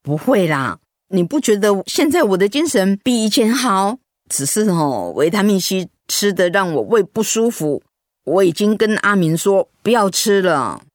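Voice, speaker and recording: Actress playing a stubborn, expressive old mother. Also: mid-range voice, normal speed, dry recording, studio quality, close to an everyday voice. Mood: chatty, persuading. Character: stubborn eighty-year-old mother refusing treatment. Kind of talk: Mandarin radio drama acting.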